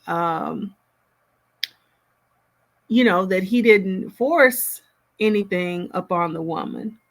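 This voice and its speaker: A woman host giving casual reaction commentary in reflective, hesitant phrasing. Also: medium pitch.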